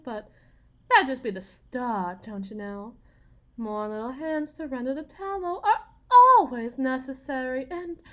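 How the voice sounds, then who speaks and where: A woman's voice: a little high, a bit bright. A woman playing a bitter, desperate young Southern US wife, confiding in a folksy, theatrical way in an audio roleplay monologue. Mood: bitter, weary.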